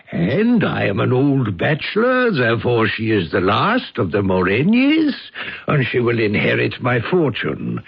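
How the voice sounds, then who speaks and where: An actor playing a grandiose, smooth-talking elderly bachelor count in old-time radio drama, coaxing in a florid, paternal way. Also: male voice, medium pitch, heavily acted. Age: older. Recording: dull lo-fi radio recording.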